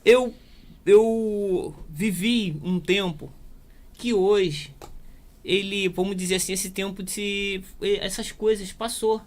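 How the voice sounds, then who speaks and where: A man's voice: sits high, fairly even intonation, slow. Brazilian man in his fifties, chatting casually. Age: 50s. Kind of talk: casual conversational talk.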